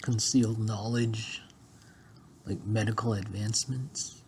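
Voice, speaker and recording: Slightly low, gravelly man rehearsing lines. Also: fairly even intonation, unhurried, a little mellow, a little hiss or hum. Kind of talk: scripted dialogue read-through.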